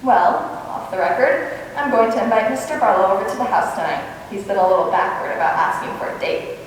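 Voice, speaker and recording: A woman playing a wry, quick-witted schoolteacher with dry quips in a radio comedy. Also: mid-range voice, lively intonation, lightly acted. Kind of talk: 1940s-style radio comedy acting. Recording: echoey hall from far off, faint noise.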